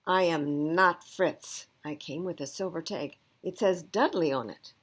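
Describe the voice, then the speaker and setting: A woman's voice: fairly low pitch, unhurried. A woman voicing a wry, thoughtful rescue dog in a storybook, with an emphatic, plain-spoken delivery. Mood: indignant, insistent.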